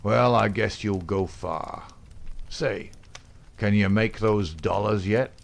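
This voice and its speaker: Man voicing a gruff, bullying gang boss. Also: lowish voice, slow. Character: rough, domineering middle-aged male gang boss.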